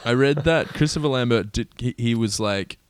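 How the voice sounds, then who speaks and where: Smooth dry-sounding guy, chatty, swears, casual movie talk. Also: medium pitch, moderate pace, no room sound. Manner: chatty, swears, quotes lines in mock voices. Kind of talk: casual film chat.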